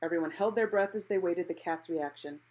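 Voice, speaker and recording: A woman reading a picture book aloud expressively, in an animated storytelling manner. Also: lowish voice, brisk, highs cut off. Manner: animated, acting out characters' exclamations.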